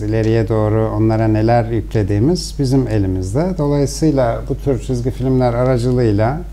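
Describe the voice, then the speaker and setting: A man gives a formal interview statement as an official, with occasional hesitation fillers. His voice is very dark and mellow. A faint hum sits behind him. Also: medium pitch.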